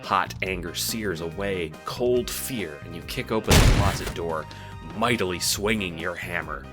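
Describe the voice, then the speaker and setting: A man reading second-person audio drama narration in an animated storytelling manner, with casual swearing. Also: deep voice, unhurried, loud music behind the voice.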